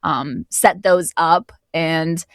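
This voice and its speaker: Female guest narrator, slightly low voice, enthusiastic and reflective in casual talk, dry close recording. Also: extremely slow, fairly bright tone, dry recording. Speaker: guest, audio drama narrator. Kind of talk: casual conversational interview.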